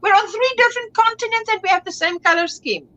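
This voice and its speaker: Afrikaans-accented lady guest, high bright voice, chatty, hunts for words. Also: quick pace, lo-fi sound. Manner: chatty, searches for words and restarts. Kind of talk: casual livestream chat.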